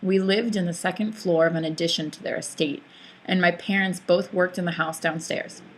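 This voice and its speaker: A woman's voice: on the low side, steady tone, normal speed, a little hiss or hum, poor audio. A young woman whose first language is not English, narrating a reflective personal essay, thoughtful, with hesitant fillers and restarts.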